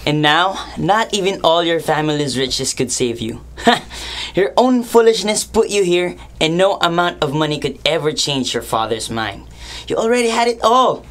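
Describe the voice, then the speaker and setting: Woman acting scornful, low-voiced jeering prison woman. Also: lively intonation, moderate pace, natural voice. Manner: mocking, theatrical. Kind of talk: amateur student radio drama acting.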